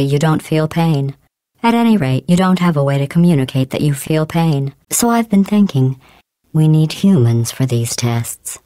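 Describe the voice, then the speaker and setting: Smug robotic lady ai running tests, low and silky, dead dry studio sound. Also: no echo. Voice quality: smooth, deadpan, condescending. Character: cold sarcastic female AI running test facility. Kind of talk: video game voice clips.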